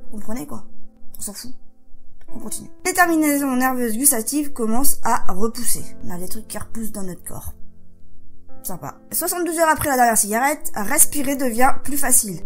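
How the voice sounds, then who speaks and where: A woman's voice: high-pitched, fairly bright tone. A young woman with a head cold, chatting casually as a vlogger, reading health points from a sheet with frequent asides. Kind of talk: casual explainer vlog.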